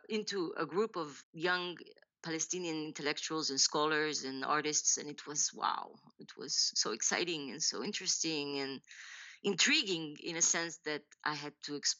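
A woman in her forties from Israel, a novelist telling her story in a podcast interview, thoughtful and halting with many restarts and laughs. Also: sits low, sharp tone, muffled recording. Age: 40s. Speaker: novelist guest.

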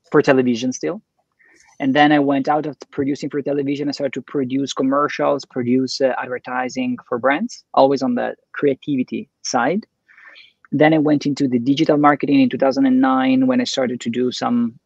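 A man's voice: mid-range voice, creaky, darkish tone, slightly rough, no room sound, muffled recording. A middle-aged man from Italy with an Italian accent, talking about his career and marriage as a guest in a casual interview, enthusiastic and rambling. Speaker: guest, entrepreneur and producer.

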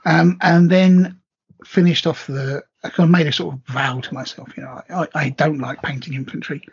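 A man co-hosting a podcast, talking in a relaxed, hesitant way with many ums and a very raspy voice. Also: fairly high pitch, moderate pace. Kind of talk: casual hobby chat. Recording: dull lo-fi audio.

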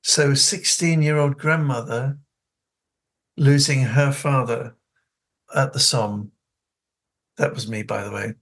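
A man's voice: mid-range voice, fairly even intonation, slow, long pauses, a bit bright. An older man from New Zealand with an elocution-trained English accent, hosting a radio talk show and reading listener letters, chatty and often laughing at himself. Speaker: radio host.

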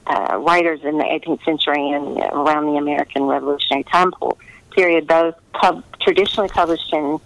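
A middle-aged woman giving earnest, conversational interview answers, pausing often to find her words over a remote line. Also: low voice, steady tone, creaky, dry recording, poor audio. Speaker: interviewed historical novelist.

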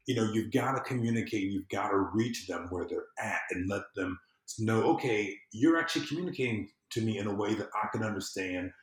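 Male podcast guest, low register, conversational and rambling with repeated phrases, recorded in a reverberant room. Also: brisk, few pauses. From southern United States. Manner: rambling, repeats phrases, explains through acted-out everyday examples. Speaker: podcast guest, civic engagement consultant. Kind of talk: casual conversational interview. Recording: roomy, echoey sound.

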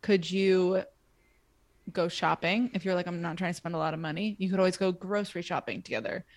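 Twenties woman, podcast co-host, slightly low voice, loose chatty delivery with plenty of fillers. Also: steady tone, a little fast, dry recording. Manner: chatty, filler-heavy, frequent "like". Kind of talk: casual chat.

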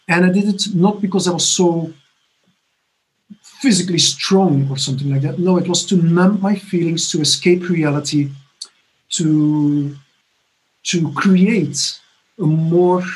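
Man, 50s, from Belgium, fairly high voice, earnest halting testimony, restarts and pauses. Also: rough recording. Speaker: recovery conference speaker. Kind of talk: personal testimony talk. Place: home apartment.

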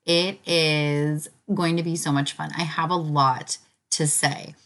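A woman hosting a casual spiritual podcast, chatty and enthusiastic, with a slightly raspy, hoarse voice as if recovering from illness. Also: low voice, little pitch movement, slow, fairly bright tone. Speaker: podcast host.

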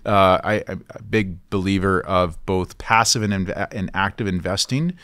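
A man's voice: low-pitched, a little slow, a bit bright, smooth, no room sound, studio quality. A man hosting an interview, chatty and eager, agreeing readily and asking questions. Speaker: interviewer, investment podcast host. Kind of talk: interview conversation.

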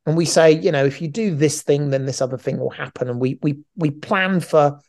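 A man's voice: on the high side, fairly quick, creaky, darkish tone. A middle-aged man co-hosting a podcast, chatting casually in a rambling, joking way and often restarting his phrases. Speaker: podcast co-host.

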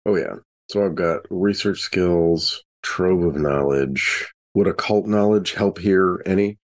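A man's voice: low voice, slow. A man playing a tabletop role-playing game, chatting casually and thinking aloud as he plans his moves.

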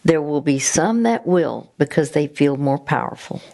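A woman hosting a faith-based conversation, speaking haltingly and searching for her words. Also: low voice, lively intonation, creaky, dark tone. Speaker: host.

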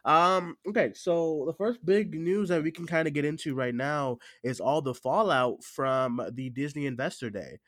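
A man with a stuffy cold hosts a casual podcast, talking in a halting way that often doubles back on phrases. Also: a little high, fairly bright tone, no echo, studio quality. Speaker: podcast host.

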